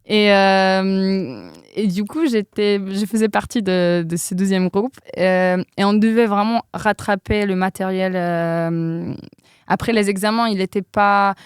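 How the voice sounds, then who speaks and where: A woman's voice: medium pitch, measured pace, clean tone, dry recording, studio quality. A young woman, not a native French speaker, telling her exchange-study story in a casual interview, hesitant with frequent fillers. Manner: hesitant, many fillers, laughs often. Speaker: interview guest.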